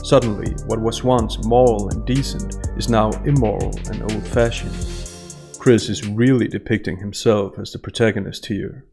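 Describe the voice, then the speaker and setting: A man giving scripted analytical narration in a measured, formal manner over instrumental music. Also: on the low side, fairly even intonation. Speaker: host analyst of statements.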